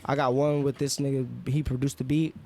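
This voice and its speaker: A young man, a guest rapper in casual chat, talking in hyped, slangy shout-outs. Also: mid-range voice, little pitch movement, fairly quick, a little hiss or hum.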